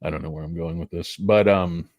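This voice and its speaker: A man's voice: deep voice, fairly even intonation, a little fast, dry recording, muffled recording. A man hosting a casual stock commentary, rambling, crude and sarcastic as he talks through charts and filings. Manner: rambling, crude and sarcastic, with mocking put-on voices. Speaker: stock market video host.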